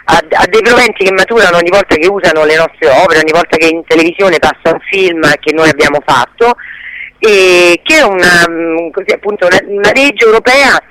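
A woman's voice: fairly low pitch, fairly quick, fairly bright tone, no room sound, low-quality recording. Lady being interviewed on radio, explains stuff, stops and rephrases, dry sound. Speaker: interview guest, actress and association president.

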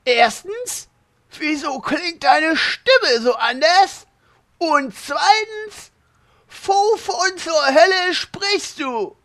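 A man's voice: extremely high pitch, animated, extremely slow, fairly bright tone, full character voice. A male actor playing a blunt, wisecracking adventurer in a comedic audio drama. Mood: puzzled.

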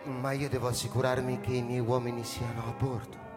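An actor playing a bewildered, anxious space captain in a radio drama, halting and thinking aloud as suspicion grows. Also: male voice, mid-range voice, little pitch movement, lightly acted. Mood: uneasy, weary. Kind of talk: radio drama acting, inner monologue. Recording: long reverb, music underneath.

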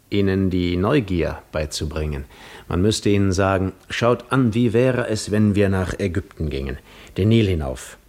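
An actor in a 1950s German radio play monologue, playing a restless, yearning seafarer lost in reverie, with lyrical, dramatic delivery that moves between hushed and fervent. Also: male voice, on the low side. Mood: musing.

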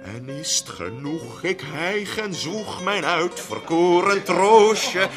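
A man's voice: high-pitched, animated, takes it slowly. An actor playing a young, witty and amorous poet who tells the content of his play and sings a ballad, in a 1970 radio drama. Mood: singing. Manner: sings verses, chuckles while explaining. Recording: music behind the voice, old radio broadcast. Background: plucked guitar.

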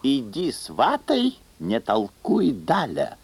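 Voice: mid-range voice, wildly swooping pitch, slow pace, darkish tone, exaggerated voice. An actor playing a simple, cocky young peasant lad with a bossy, rustic, self-assured manner.

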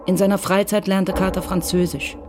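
A woman reading scripted literary narration for a radio crime drama in a measured, matter-of-fact way. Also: medium pitch, little pitch movement, background noise.

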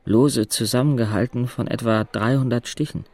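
A man's voice: medium pitch, fairly even intonation, moderate pace, darkish tone, lightly acted. An actor playing a wry, observant teenage boy who narrates an audio drama in a dry, laconic way.

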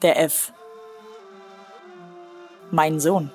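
A woman's voice: deep voice, fairly even intonation, drawn-out words, warm tone, soft background music. A woman playing a proud, sorrowful village bride and mother in her forties, reciting in a composed radio play manner. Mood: sorrowful, tender. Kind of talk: literary radio play acting.

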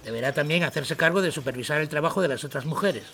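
Man acting a creaky, courteous gentleman employer. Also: fairly high pitch, quick pace, a little hiss or hum. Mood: formal, assured. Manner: formal, polite. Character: courteous, businesslike gentleman workshop owner. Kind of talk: radio drama acting.

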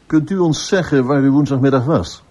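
An actor playing a composed, firm police inspector, polite and authoritative, in a radio drama. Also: male voice, mid-range voice, a little fast, darkish tone, natural voice. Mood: businesslike. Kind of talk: Dutch radio drama acting.

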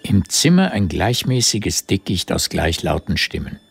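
A man's voice: deep voice, big pitch swings, a little slow, vocal fry, husky, exaggerated voice. A man narrating a historical radio drama as its scripted literary storyteller. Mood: observant.

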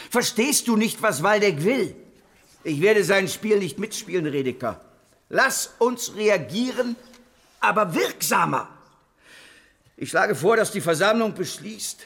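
An impassioned religious leader of a rebel brotherhood in a historical radio play. His voice is high and swings widely as he argues like an orator, emphatic and persuasive. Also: fairly bright tone, husky, exaggerated voice. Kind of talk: German historical radio drama acting.

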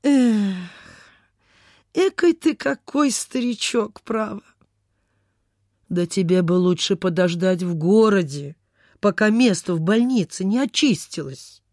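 A woman's voice: medium pitch, lively intonation, slow, long pauses, darkish tone, dry recording, studio quality. An actress playing a fussy, pitying, devout landowner lady in a Soviet radio play, given to exclaiming and sighing. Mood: concerned, questioning.

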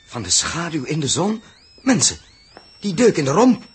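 An actor playing a grave, commanding spaceship captain in deliberate, theatrical 1960s Dutch radio drama style. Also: male voice, a little high, big pitch swings, slightly rough, full character voice. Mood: urgent, commanding. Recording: old 1960s radio recording.

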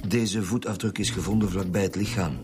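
An actor playing a firm, probing Flemish homicide detective in a radio drama. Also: male voice, deep voice, steady tone, a little fast, natural voice. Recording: music behind the voice.

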